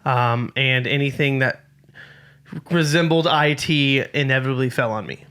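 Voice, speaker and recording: A man's voice: mid-range voice, normal speed, fairly bright tone, clear, resonant tone. A man in his late twenties co-hosting a casual podcast, speaking with dry, self-deprecating humor. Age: 20s. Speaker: podcast co-host. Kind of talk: casual chat.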